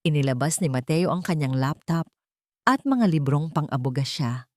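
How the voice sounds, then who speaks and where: A woman with a fairly low voice narrates a scripted drama. Her delivery is deliberate, solemn and full of feeling, recorded close and dry. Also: animated, dry recording. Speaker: audio story narrator. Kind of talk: dramatic scripted first-person story narration.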